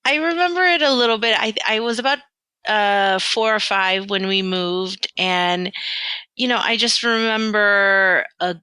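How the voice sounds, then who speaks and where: A woman's voice: mid-range voice, unhurried, bright, forceful tone, clean tone, dry recording. A woman physical therapist, born in London and raised in the U.S., talking casually as a podcast guest, with many fillers and restarts. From born in London, raised in the American South and Southern California. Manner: chatty, with many fillers and restarts, laughs often. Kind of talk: conversational interview.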